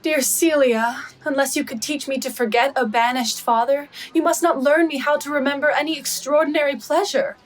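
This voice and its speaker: It is a woman playing a young noblewoman in a Shakespeare radio play, wistful yet ready to jest. Her voice is fairly high, and her phrasing is measured and wry. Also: fairly even intonation, natural voice. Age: young adult.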